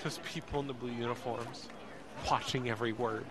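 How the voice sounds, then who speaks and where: A man's voice: mid-range voice, fairly even intonation, lightly acted. Man acting an intense young narrator over crowd noise. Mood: weary, anguished. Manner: intense, halting, repeats words. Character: anxious, emotional young man recounting his ordeal. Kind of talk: dramatic first-person narration in audio drama.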